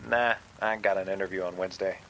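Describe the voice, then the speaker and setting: A man acting as an awkward young man in an audio drama phone call. He speaks in a low voice, halting and apologetic, as if to an estranged friend. Faint noise sits behind him. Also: fairly even intonation, a bit bright. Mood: subdued, friendly. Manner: hesitant, halting.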